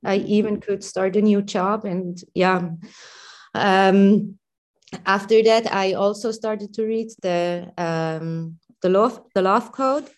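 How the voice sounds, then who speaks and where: A woman with a Swiss accent calling in to share a personal story, hesitant and full of pauses and fillers. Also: mid-range voice, steady tone. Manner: hesitant, many pauses and fillers, soft laughs. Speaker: caller. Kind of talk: personal testimony on a call-in.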